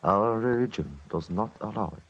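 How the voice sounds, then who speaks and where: An actor playing a dignified old Tibetan religious elder in a 1950s radio drama, speaking formally and with measured courtesy. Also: male voice, on the low side, animated, a bit bright. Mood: solemn, gracious. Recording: muffled 1950s radio recording.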